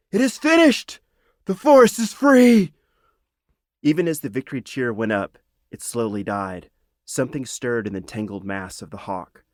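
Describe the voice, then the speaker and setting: A man reading a children's fantasy audiobook as a dramatic, earnest storyteller with measured phrasing. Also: fairly low pitch, no room sound, studio quality. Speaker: author reading own audiobook.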